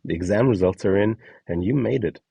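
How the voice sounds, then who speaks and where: A man's voice: lowish voice, a little fast, creaky, warm tone, no echo, highs cut off. A man reading scripted instructions and short scene prompts as the narrator of a guide.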